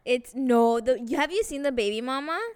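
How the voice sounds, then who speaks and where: A woman in her twenties co-hosts a casual podcast in a laid-back, rambling way, with lots of fillers. Her voice is fairly high and smooth, and she sounds relaxed as she talks. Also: normal speed. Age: 20s. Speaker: podcast co-host. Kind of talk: casual chat.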